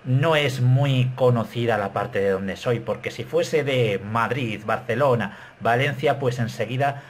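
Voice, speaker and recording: Slow-talking Spanish man in his thirties. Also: mid-range voice, a little hiss or hum. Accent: Castilian Spanish. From Spain. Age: 30s. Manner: animated, self-mocking, gestures a lot. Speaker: YouTuber. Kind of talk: casual vlog to camera. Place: small bedroom, indoors.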